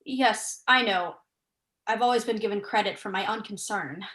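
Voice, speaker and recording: A young woman playing a brisk, probing, sceptical writer in a staged play reading. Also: medium pitch, fairly bright tone, lightly acted. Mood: dry. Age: young adult.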